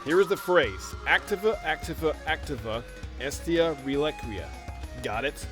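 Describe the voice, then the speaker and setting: A woman's voice: sits low, normal speed, soft background music, lightly acted. A woman playing a brash young male echidna adventurer in an audio drama, blunt, confident and teasing. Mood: teasing, brisk. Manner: blunt, cocky. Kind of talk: fan audio drama acting.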